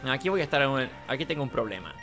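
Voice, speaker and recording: A Chilean man hosts a casual gaming playthrough in a middling-pitched voice. He talks to himself hesitantly and repeats words, with game music playing behind him. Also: sing-song, very fast. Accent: Chilean Spanish. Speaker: gaming video host. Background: video game music behind the voice.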